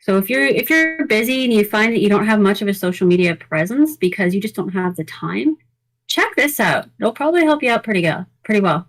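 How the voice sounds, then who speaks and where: A woman's voice: medium pitch, animated, fairly quick, low-quality recording. A woman co-hosting a podcast, running through news items in casual, conversational talk. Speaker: podcast co-host.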